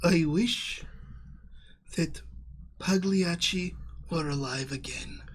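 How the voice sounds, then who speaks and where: A man playing a tabletop game, speaking deliberately in character. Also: a little high. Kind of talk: slow, deliberate in-character play.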